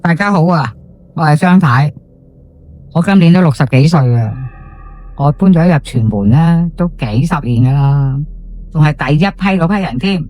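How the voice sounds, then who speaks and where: A woman's voice: low voice, mellow, close to an everyday voice. Woman acting a gossipy older Hong Kong housewife. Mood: gossipy, spooked. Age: 60s. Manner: colloquial, gossipy, with drawn-out sentence particles and exclamations. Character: chatty gossiping housewife in her sixties, superstitious old estate resident. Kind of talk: first-person ghost story monologue for audio drama.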